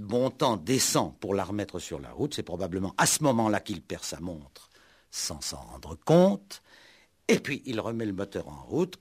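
An actor playing a shrewd, ironic police commissioner in a 1960s French radio play, speaking with deliberate, mock-courteous drama. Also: male voice, low-pitched, husky, full character voice. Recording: old 1960s radio recording.

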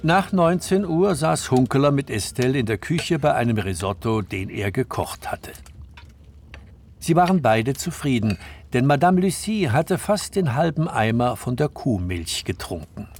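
An actor as the calm, measured third-person narrator of a crime story, reading scripted narration in a radio play. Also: male voice, mid-range voice.

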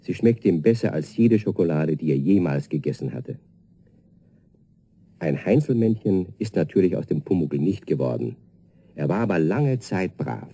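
A man narrating a children's radio play as a calm storyteller, reading in a scripted storybook style. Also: sits low, moderate pace. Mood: measured.

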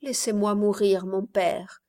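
A woman voicing a dying young girl who speaks softly and with quiet resignation, in a read-aloud story. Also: medium pitch, steady tone, slow pace, close to an everyday voice. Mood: resigned.

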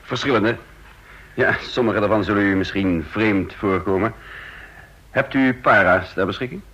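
Hese, gebiedende operatieleider. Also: male voice, fairly low pitch, normal speed, a bit bright, slightly rough. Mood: brisk commanding. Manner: clipped, authoritative, matter-of-fact. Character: commanding, shrewd male operation leader, brisk and self-assured. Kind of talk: Dutch radio drama dialogue. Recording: dull old radio recording.

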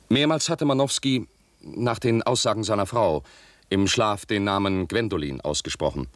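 A deliberate man testifying in a radio drama, his voice low and recorded dry. He sets out his account formally and factually, in measured phrases. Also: no room sound. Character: sober, deliberate male expert witness explaining his actions. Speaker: witness at a hearing. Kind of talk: 1970s German radio play acting.

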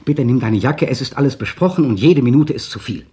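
An actor playing a tense, controlling husband in a 1960 German radio drama, clipped and insistent, sinking to a mutter. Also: male voice, mid-range voice, animated, a little fast, mellow, close to an everyday voice. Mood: restless. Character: tense, controlling young husband pressing his wife.

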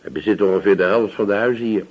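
Deep, husky village police sergeant. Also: male voice, fast, a little mellow. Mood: confiding. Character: obliging, gossipy male village police sergeant. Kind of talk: radio drama acting.

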